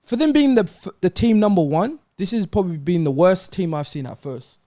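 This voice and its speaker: High-pitched, argumentative man debating football. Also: animated, brisk, mellow. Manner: argumentative, insistent, repeats his points. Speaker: football panellist.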